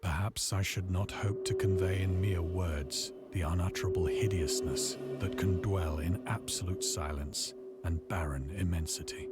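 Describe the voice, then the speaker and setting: A grim, deep-voiced castaway narrator. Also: male voice. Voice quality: grave, measured delivery. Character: lone male castaway recounting dread, solemn. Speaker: narrator of a visual novel. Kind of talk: scripted literary narration. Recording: game audio under loud music.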